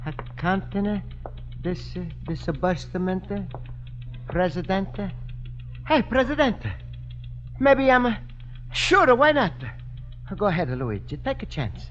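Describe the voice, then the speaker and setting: A man's voice: sits high, big pitch swings, takes it slowly, long pauses, a bit bright, heavily acted. An actor playing a naive, good-hearted Italian immigrant man with earnest broken English and a thick Italian accent, in a radio comedy. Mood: nervous, earnest. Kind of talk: 1950s radio situation comedy acting. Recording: dull, noisy old radio broadcast.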